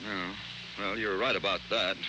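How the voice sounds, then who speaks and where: An actor playing a detective's puzzled, skeptical associate in a 1940s American radio drama, with a questioning, excitable manner. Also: male voice, sits low, normal speed, lightly acted. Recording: noisy, hissy 1940s radio recording.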